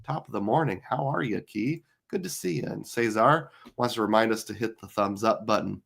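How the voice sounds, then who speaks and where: A middle-aged man with a hoarse, tired voice, hosting a live stream in casual chat, rambling with lots of fillers. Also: fairly low pitch, fairly quick, fairly bright tone. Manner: chatty, rambling with many fillers. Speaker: livestream host.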